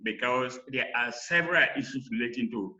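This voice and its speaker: A man with a Sierra Leonean accent, a researcher presenting recommendations in a halting, explanatory way. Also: medium pitch, bright, forceful tone. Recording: slightly dull online call audio.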